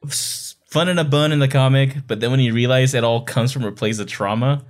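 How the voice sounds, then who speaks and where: A man's voice: mid-range voice, fairly quick. Enthusiastic man in casual podcast chat. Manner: enthusiastic, conversational fan talk. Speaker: podcast co-host. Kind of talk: casual book club chat.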